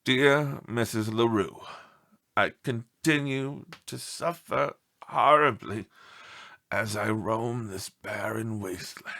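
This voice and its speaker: A man voicing a self-pitying, melodramatic pet dog in a storybook, with theatrical, mournful delivery and breathy sighs. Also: medium pitch, sharp tone, full character voice. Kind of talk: storybook read-aloud character.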